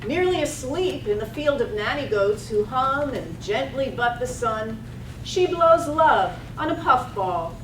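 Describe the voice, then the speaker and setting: A woman reading poetic scripted narration as a drama narrator, deliberate and relishing each word. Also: lively intonation. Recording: roomy, distant mic, faint rumbling noise.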